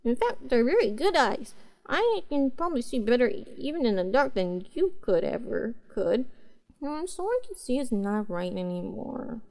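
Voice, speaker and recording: Cute neko girl: high, swooping voice, childlike and earnest, for roleplay audio. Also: big pitch swings, gravelly, a little mellow, poor audio. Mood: sad, apologetic. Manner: hesitant, childlike, halting phrasing. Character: cute, earnest, naive young cat-girl pet. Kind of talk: roleplay audio drama, speaking to the listener.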